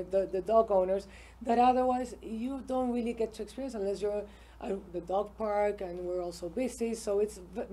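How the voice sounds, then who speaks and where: Middle-aged woman from peru, mellow low-key voice, relaxed chatty interview guest, lots of ums. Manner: warm, relaxed, fills pauses with um and uh. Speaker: interview guest, hotel owner. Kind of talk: casual interview chat. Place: small TV studio set with bookshelves.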